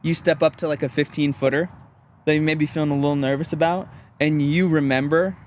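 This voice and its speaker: A man's voice: a little high, steady tone, brisk, darkish tone, slight background noise. Laid-back young man chatting outdoors. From Louisiana. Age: 20s. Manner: easygoing, rambling, frequent "you know what I mean". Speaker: disc golf pro, interview guest. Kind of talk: casual interview chat.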